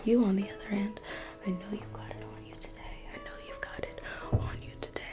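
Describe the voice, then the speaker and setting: A woman in an ASMR roleplay, playing a private museum guide in a slow, coaxing whisper that repeats phrases. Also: huge pitch swings, loud music behind the voice. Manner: coaxing sales-pitch tone.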